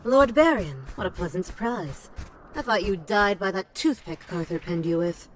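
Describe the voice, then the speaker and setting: A woman's voice: medium pitch, lively intonation, normal speed, a little hiss or hum, natural voice. An actress playing a bold, sardonic noblewoman warrior in a medieval fantasy game, with a haughty, taunting manner.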